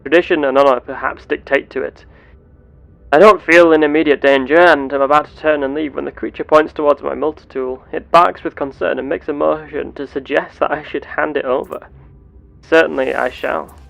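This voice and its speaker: A man playing a genteel space captain and explorer, speaking in formal, measured, old-fashioned wording as he narrates a game in character. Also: on the high side, steady tone, creaky, fairly bright tone, soft background music, poor audio. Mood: deliberate.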